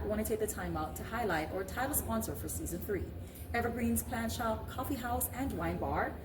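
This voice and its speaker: A woman's voice: mid-range voice, noisy background. A woman hosting a talk show, speaking in a conversational podcast interviewing style. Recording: roomy, distant mic, music behind.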